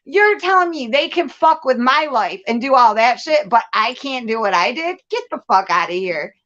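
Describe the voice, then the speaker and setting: A woman's voice: a little high, lively intonation, normal speed, a bit bright, dull audio. A woman venting candidly and casually as host, blunt and profane, leaning hard on repeated phrases for emphasis. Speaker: host of a live commentary show.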